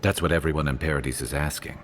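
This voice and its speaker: An actor voicing a dry-witted, loyal companion and guide in a video game, wry and matter-of-fact. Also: male voice, a bass voice, steady tone, fast talker, soft background music. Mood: wry, relieved. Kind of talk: video game character dialogue.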